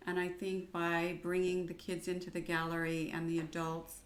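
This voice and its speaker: A woman hosting a conversational interview, speaking in a measured, appreciative way. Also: on the low side. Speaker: interviewer, volunteer project founder. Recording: roomy echo.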